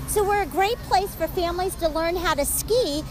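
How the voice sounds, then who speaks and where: A woman's voice: very high-pitched, normal speed, background noise. A middle-aged woman, a ski area manager, answering interview questions outdoors in a friendly, explanatory way. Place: outdoors at a snowy ski hill. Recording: distant outdoor mic, loud ambience.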